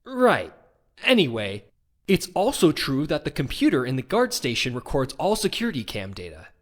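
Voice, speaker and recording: A man's voice: a little high, wildly swooping pitch, moderate pace. A man voicing an earnest, dogged young defense lawyer in a courtroom game, with insistent, emphatic questioning. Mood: methodical. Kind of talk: video game fan voice acting.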